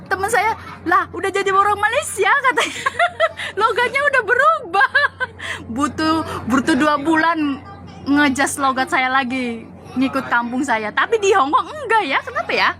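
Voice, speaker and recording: A young Javanese woman recounting her years as a domestic worker abroad in a lively casual chat, laughing often. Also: extremely high pitch, normal speed, a crowd in the background. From Java, Indonesia. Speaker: former migrant domestic worker.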